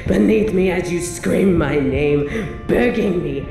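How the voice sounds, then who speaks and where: A woman's voice: lowish voice, expressive, slow pace, echoey room, over music, full character voice. A woman playing an obsessive, possessive evil medieval queen in an audio roleplay, speaking in a theatrical, formal, savouring way with seductive menace. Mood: lustful, obsessive. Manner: theatrical, formal, savouring, dropping into breathy whispers. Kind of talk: scripted dramatic audio roleplay monologue.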